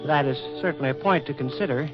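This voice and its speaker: A muffled old radio drama with music behind. An actor plays a stiff, proper male official in a fairly high voice. His speech is formal, prim and exact, in 1940s radio manner. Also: fast talker, lightly acted. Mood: flustered, courteous.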